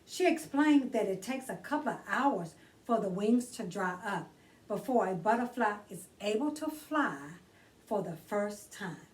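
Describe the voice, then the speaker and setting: A woman hosting a children's storytime, reading aloud expressively and deliberately, drawing out key words for emphasis. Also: mid-range voice, animated, recorded from a distance, low-quality recording. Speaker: children's storytime host and reader.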